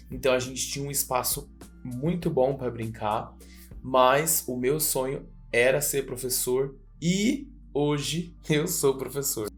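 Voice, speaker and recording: A man, a public school teacher, recalling his childhood in a calm, reflective way. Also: mid-range voice. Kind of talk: personal testimony to camera.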